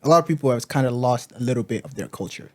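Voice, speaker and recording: Young man from angola, quick interjections, casual podcast banter, mid-pitched. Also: expressive. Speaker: podcast co-host. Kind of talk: casual chat.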